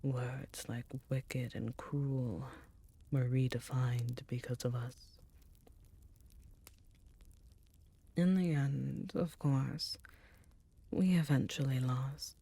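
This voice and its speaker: An ancient female succubus demon queen, performed by a woman for an intimate ASMR roleplay. Her voice is very deep, dark and mellow, slipping often into soft breathy whispers. She speaks slowly, with a tender, teasing and remorseful temper. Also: exaggerated voice. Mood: wistful, solemn.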